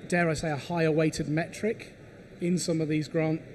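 A man's voice: a little high, flat delivery, normal speed, darkish tone, slight background noise. A man speaking as a panelist in a panel discussion, stammering on restarts as he builds his point.

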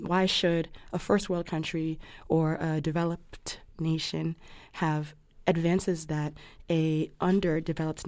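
A woman with a mellow, slightly low voice, recorded close and dry. She explains technical matters conversationally, choosing formal words in an articulate, measured way. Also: no room sound. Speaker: physician interview guest.

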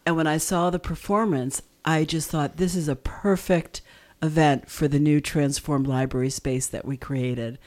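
A woman's voice: low-pitched, fairly even intonation, moderate pace, darkish tone. A woman co-hosting a casual radio interview, responding to her guests and steering the conversation. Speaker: radio show co-host, library staff.

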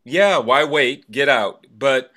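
A man's voice: medium pitch, lively intonation, slow pace, bright, forceful tone. A man chatting casually as a podcast co-host. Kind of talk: casual chat.

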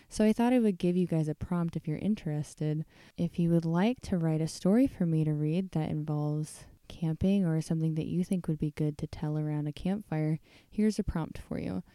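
A woman's voice: deep voice, dark tone, no echo. A woman hosting a storytelling podcast, speaking in an informal, candid way. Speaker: podcast host and story reader. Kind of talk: casual chat and storytelling narration.

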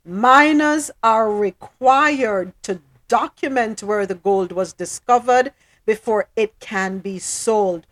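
A woman hosting a current affairs talk show, speaking in a measured, deliberate way as she comments and reads reports. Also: mid-range voice. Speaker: host. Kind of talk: news discussion, commentary and reading reports.

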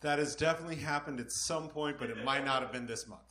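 A man's voice: medium pitch, fast talker, bright, forceful tone. A man playing a live comedy quiz as contestant, laughing and self-deprecating. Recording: echoey live room, faint noise.

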